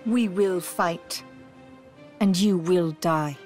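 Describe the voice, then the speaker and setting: A woman playing a spirited, resolute young pirate lady in a video game, earnest and deliberate. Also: fairly low pitch, darkish tone, soft background music. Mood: defiant. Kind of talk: dramatic video game acting.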